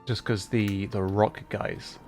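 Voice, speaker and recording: A man's voice: lowish voice, level tone, takes it slowly, darkish tone, faint music behind. A man casually chatting on a gaming livestream, thinking aloud in a hesitant way. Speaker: streamer. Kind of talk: casual livestream gameplay chat.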